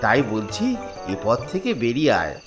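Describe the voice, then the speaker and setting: A man's voice: medium pitch, sing-song, normal speed, soft background music, lightly acted. A man voicing a preachy, earnest older schoolmaster in a comic cartoon, lecturing emphatically.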